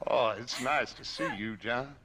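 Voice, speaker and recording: A man's voice: mid-range voice, sharp tone, slight background noise. A man playing a theatrical, mocking outlaw gang leader in a Western video game cutscene. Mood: falsely pleasant. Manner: slow, mocking, grandiose.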